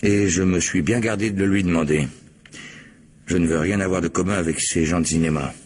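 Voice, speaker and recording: A man playing a proud, modest physicist, an estranged husband answering questions in a measured, hesitant way in a French radio crime drama. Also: deep voice, fairly even intonation, a little mellow, slightly rough. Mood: wounded pride.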